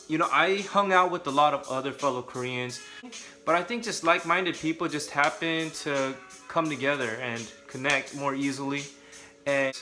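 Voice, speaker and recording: A man's voice: a little high, pushed and bright. A young adult man from California, talking casually and candidly to camera with joking asides. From Southern California. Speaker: vlogger.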